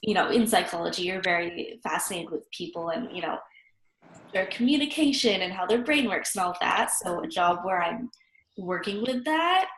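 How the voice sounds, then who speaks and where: A woman's voice: fairly low pitch, lively intonation, normal speed, fairly bright tone. Young woman, nasal from a cold. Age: young adult. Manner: friendly, hesitant, filler words. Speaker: university student. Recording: echoey room with faint noise.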